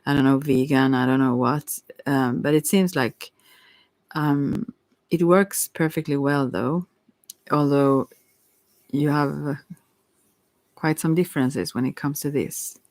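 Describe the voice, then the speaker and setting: Halting, creaky-voiced tarot reader, very close and dry. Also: medium pitch, a little mellow, no echo. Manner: hesitant, halting, apologetic, with frequent self-interruptions. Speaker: tarot card reader, ASMR host. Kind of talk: rambling ASMR tarot reading.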